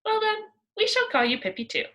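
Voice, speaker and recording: A woman voicing a kindly, patient schoolteacher in a storybook, speaking gently and courteously. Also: very high-pitched, wildly swooping pitch, fairly quick, voice pushed up, full character voice.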